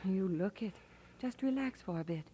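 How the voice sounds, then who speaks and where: An actress playing a pastor's wife in an audio drama, fond, composed and gently teasing. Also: female voice, medium pitch, lively intonation, normal speed, slight background noise, natural voice, low-quality recording. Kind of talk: audio drama acting and narration.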